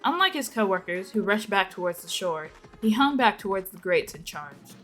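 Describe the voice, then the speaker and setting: A woman's voice: medium pitch, lively intonation, moderate pace, fairly bright tone, faint music behind. A woman reading a scripted action story aloud as a dramatic narration. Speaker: narrator of a fan fiction reading.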